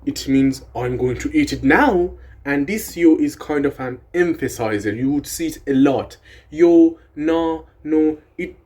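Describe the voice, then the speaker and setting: A man's voice: a little high, a little slow, a little mellow. A young man whose first language is Persian, casually explaining language grammar in a rambling, swearing, self-deprecating way. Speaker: language video creator.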